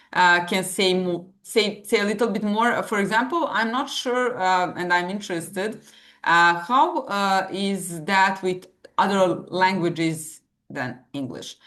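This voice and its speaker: Halting woman host. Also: on the low side, fairly bright tone. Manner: halting, many "uh" pauses and restarts. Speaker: podcast host. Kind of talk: interview questions.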